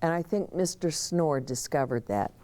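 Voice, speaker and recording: Woman, lowish mellow voice, lively intonation, unhurried children's read-aloud, chatty asides. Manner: expressive, explaining and questioning as to young children. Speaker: story-time host.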